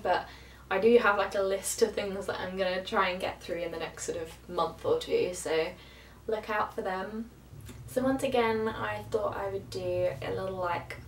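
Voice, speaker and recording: A woman's voice: medium pitch, slight background noise. A young woman with a cold and a slightly nasal voice, chatting casually to camera. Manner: chatty, rambling with many fillers. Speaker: cover singer and vlogger. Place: small bedroom at a keyboard. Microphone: camera across the room. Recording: echoey room, voice from a distance.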